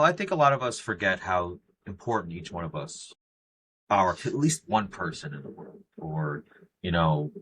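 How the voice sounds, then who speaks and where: Very deep-voiced American man interviewing. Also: pushed and bright, slight background noise, poor audio. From United States. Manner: effusive, rambling, filler-heavy. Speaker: podcast interviewer. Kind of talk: casual conversational interview.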